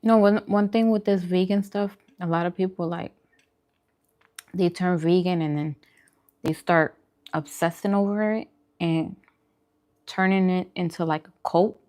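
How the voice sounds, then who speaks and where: A woman's voice: fairly low pitch, fairly even intonation, a little slow, long pauses, a little mellow. A woman vlogger chatting casually while eating, talking in an informal, musing, conversational way. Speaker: vegan food vlogger.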